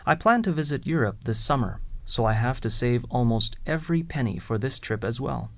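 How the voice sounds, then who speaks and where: A man reading scripted lines for a listening exercise in an even, clearly enunciated way. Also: mid-range voice, brisk.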